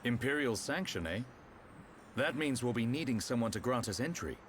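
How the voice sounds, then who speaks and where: A man playing a calm, knowledgeable warrior and mentor in a video game, explaining things in a measured, assured way. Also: mid-range voice, slight background noise, close to an everyday voice. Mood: thoughtful. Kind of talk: video game cutscene acting.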